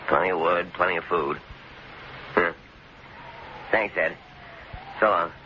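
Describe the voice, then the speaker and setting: A man's voice: sits low, fairly even intonation, slow pace. An actor playing a menacing, mocking man holding captives, in the style of an old-time radio drama. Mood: hurried, reassuring. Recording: noisy, dull old-time radio recording.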